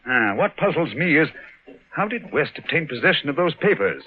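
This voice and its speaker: An English actor playing a shrewd, teasing, masterful detective in an old radio drama, musing theatrically and repeating phrases. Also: male voice, fairly high pitch, big pitch swings, normal speed, slightly rough. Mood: musing, probing. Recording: muffled, narrow-band vintage radio recording.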